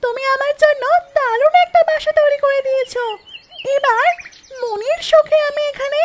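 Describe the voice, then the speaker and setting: Cartoon mother duck, extremely high voice, gently nagging wife, loud noise behind. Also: steady tone, normal speed. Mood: pleased. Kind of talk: cartoon dialogue.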